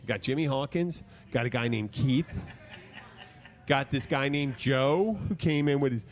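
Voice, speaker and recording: A man's voice: mid-range voice, a little slow. A man hosting a casual podcast, telling a rambling, joking story with lots of restarts. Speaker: podcast host. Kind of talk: casual banter. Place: studio podcast room.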